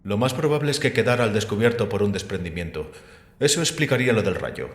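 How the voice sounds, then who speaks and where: Actor as deep, echoing, erudite male sceptic. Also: lively intonation, close to an everyday voice. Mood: matter-of-fact. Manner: measured, explanatory, rhetorical questioning. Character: calm, sceptical, erudite man called Revelation, gently mocking. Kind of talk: Spanish audio drama acting. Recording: echoey hall with long reverb.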